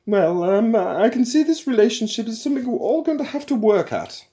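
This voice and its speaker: Nagging mother-hen ship computer, piercing and sing-song; male reader in falsetto. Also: big pitch swings, a little fast, slight background noise. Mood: wounded. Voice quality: high matriarchal twang. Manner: scolding, loud, sing-song. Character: shrill motherly female ship computer, fussy and bossy. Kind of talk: audiobook character voice.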